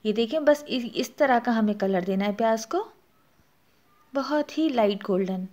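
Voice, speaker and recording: A woman's voice: a little high, animated, unhurried, darkish tone. A woman with a Pakistani Urdu accent hosting a cooking channel, narrating a recipe step by step and adding practical tips. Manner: explanatory, instructive, gives practical tips.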